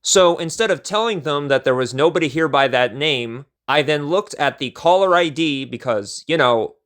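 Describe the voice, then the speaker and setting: A male storyteller with a somewhat higher-pitched voice. He delivers a scripted first-person tale in measured phrases, with a theatrical touch to his narration. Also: lively intonation, fairly bright tone.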